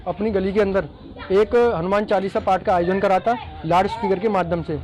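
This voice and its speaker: Insistent high-voiced male activist. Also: steady tone, brisk, darkish tone, soft background music, poor audio. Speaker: student activist. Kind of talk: on-camera interview statement.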